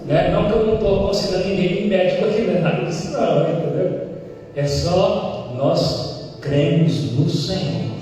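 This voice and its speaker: A man's voice: sits high, normal speed, warm tone. A man from Brazil preaching a sermon as pastor, emphatic, rising to exclamations and acting out little dialogues. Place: large church hall. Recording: echoey church hall, mic far off.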